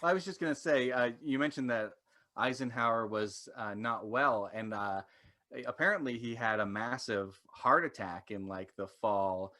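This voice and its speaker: A man's voice: mid-range voice, normal speed, sharp tone. A man speaking casually as a panelist in an informal podcast discussion. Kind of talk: casual chat.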